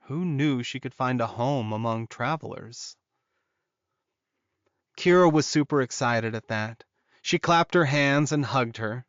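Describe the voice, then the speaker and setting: A man's voice: medium pitch, measured pace, no echo. A man narrating scripted fiction as an audiobook reader, in a slightly muffled recording.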